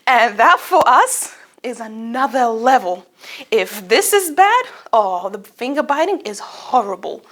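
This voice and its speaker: A young woman, a native Arabic speaker, giving a humorous personal speech to an audience in an expressive storytelling manner. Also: a little high, a little slow. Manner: expressive, animated storytelling with acted-out asides.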